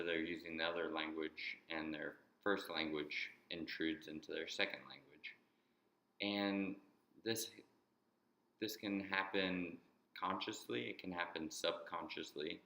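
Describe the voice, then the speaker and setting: A deep-voiced man talks through an idea in a halting way, restarting and repeating words as he goes. His tone is bright and forceful despite the low pitch, and the room around him rings with echo. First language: English. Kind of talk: explanatory monologue.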